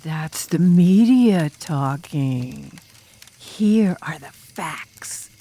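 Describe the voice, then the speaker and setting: A woman voicing an enigmatic drum major student telling a demon horror tale in an audiobook, with ominous, drawn-out, dramatic delivery. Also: low voice, lively intonation, dark tone, full character voice. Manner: ominous, drawn-out, dramatic, sometimes dropping to a whisper. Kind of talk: audiobook ghost story narration in character.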